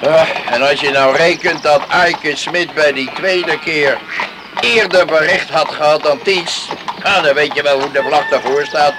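A man's voice: a little high, measured pace, lightly acted. An actor playing a grumbling, scornful island lifeboatman in a radio play, full of muttered interjections. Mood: disgruntled. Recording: noisy radio play recording, horse and outdoor effects.

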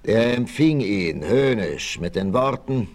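A man's voice: on the low side, expressive, slow, darkish tone. A man narrating a 1960s radio adventure as scripted, dramatic storytelling. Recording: 1968 vinyl radio play, noisy.

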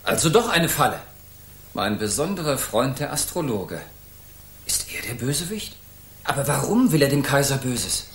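A stern, anxious knight captain in a radio drama for children. He has a medium-pitched voice and speaks in formal, old-fashioned courtly phrases. The sound is roomy. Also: big pitch swings, normal speed, fairly bright tone, a little hiss or hum. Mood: grave, suspicious. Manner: formal, archaic courtly diction.

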